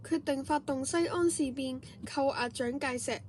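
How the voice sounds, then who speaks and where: Female radio drama narrator, soft dark timbre, high register, scripted read. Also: normal speed, slight background noise.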